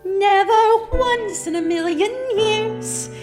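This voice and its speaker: A woman's voice: very high-pitched, slow pace, over music. A woman playing a blissful, devoted young bride, singing in musical theatre style over accompaniment.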